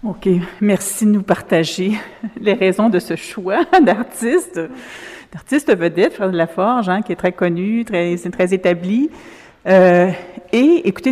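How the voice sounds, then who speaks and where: A woman's voice: medium pitch, a little mellow. A woman hosting an on-site radio interview in an art gallery, effusive and enthusiastic as she questions her guests. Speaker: radio magazine host. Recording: long reverb of a gallery room.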